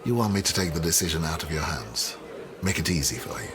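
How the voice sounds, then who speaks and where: An actor playing a proud, defensive history professor in a naturalistic radio drama, insistent and questioning. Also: male voice, a bass voice, lively intonation, fast talker, background noise.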